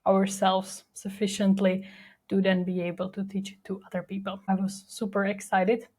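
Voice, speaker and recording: A young woman with a Czech accent talks through her own story as a podcast host. Her pitch sits mid-range and stays level, with little rise or fall. She sounds candid and reflective, leaning on fillers as she goes. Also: monotone, moderate pace, a little mellow. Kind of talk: personal storytelling monologue.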